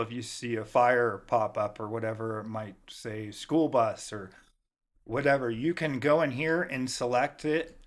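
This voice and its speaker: Guy doing a casual how-to, medium-pitched voice, talks you thru it step by step. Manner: informal, step-by-step. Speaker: tutorial host. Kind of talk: casual software tutorial narration.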